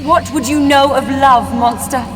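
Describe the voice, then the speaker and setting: A woman's voice: high-pitched, steady tone, unhurried, noisy background. An actress playing a proud, indignant captive noblewoman in a fantasy game, declaiming with dramatic scorn. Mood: outraged. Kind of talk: video game voice acting.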